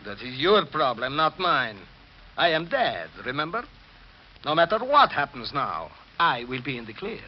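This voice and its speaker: Sardonic, sinister male accomplice, theatrical radio melodrama, highish animated voice, dull noisy vintage sound. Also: moderate pace. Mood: cold, dismissive. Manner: theatrical, pointed, insistent. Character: sinister, sardonic male accomplice who faked his death. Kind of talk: 1940s radio mystery acting. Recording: muffled, noisy 1940s radio recording, partly echoing.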